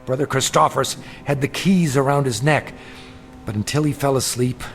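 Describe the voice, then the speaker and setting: A weary male traveler played by a man, trapped and uneasy, tells his story in a brooding, literate radio drama manner. His voice sits at an ordinary male pitch. Music plays clearly underneath. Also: normal speed, close to an everyday voice. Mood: dread. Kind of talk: radio drama acting with first-person narration.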